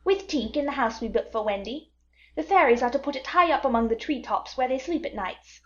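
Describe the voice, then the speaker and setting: A woman's voice: sits high, animated, a little fast, voice pushed up. A woman voicing a cocky young boy who refuses to grow up, in a raised, dull-toned voice for a storybook. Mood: defiant. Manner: cocky, defiant.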